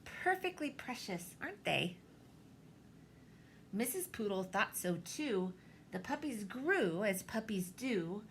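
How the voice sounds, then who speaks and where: A woman reading a children's picture book aloud, expressively, with theatrical pauses and deliberate emphasis. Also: medium pitch, big pitch swings, a little hiss or hum. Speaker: storybook reader, teacher.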